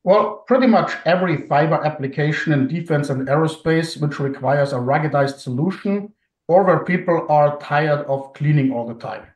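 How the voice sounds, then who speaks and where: A man with a German accent, a podcast guest answering interview questions in measured, technical terms. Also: on the high side, echoey room, distant mic, highs cut off.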